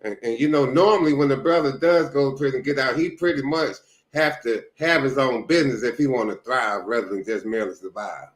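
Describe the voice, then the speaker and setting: A podcast host in his sixties speaks in a relaxed, conversational way and sounds appreciative of his guest. His mid-pitched voice carries a noticeable room echo. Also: fairly quick. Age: 60s. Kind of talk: casual interview. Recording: roomy echo.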